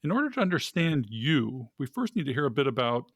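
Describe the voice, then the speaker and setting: A man's voice: mid-range voice, sing-song, a little fast, a bit bright, slightly rough, no room sound, clean studio sound. A man hosting a podcast interview, introducing the guest and putting questions. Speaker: podcast host.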